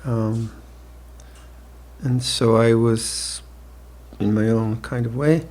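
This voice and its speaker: A monk in his seventies gives an evening dharma talk, speaking slowly and reflectively with gentle restarts and soft laughter. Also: medium pitch, fairly even intonation. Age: 70s. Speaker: monk teacher. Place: retreat hall with listeners.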